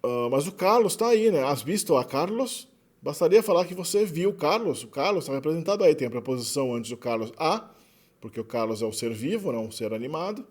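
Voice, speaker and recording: A man with a Brazilian Portuguese accent giving an explanatory linguistics lecture in a relaxed way, often adding tag questions and correcting himself. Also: on the high side, fairly quick.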